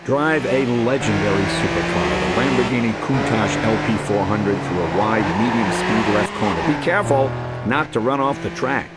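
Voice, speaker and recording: A man reading scripted instructional voice-over for a racing game in a clear, measured manner. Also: mid-range voice. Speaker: game tutorial narrator. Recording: loud car engine noise over voice. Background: race car engines and driving sounds.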